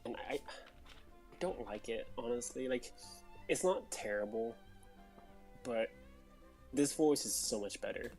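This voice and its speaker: Very low-voiced woman chatting over a game. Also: unhurried, long pauses, darkish tone. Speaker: streamer playing a game. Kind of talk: casual chat, game commentary. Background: game music.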